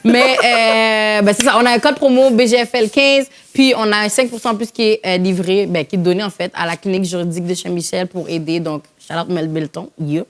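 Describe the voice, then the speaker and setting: A woman's voice: mid-range voice, fairly even intonation, a little fast, hardly any pauses. A woman with a Quebec French accent co-hosting a podcast, bubbly and switching into English slang. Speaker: podcast co-host. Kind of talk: casual podcast chat.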